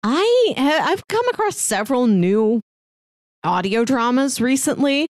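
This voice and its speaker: A woman's voice: high-pitched, sing-song, unhurried, no echo. Woman podcaster with a dry, close sound. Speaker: podcast co-host. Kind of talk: casual review and plot recap.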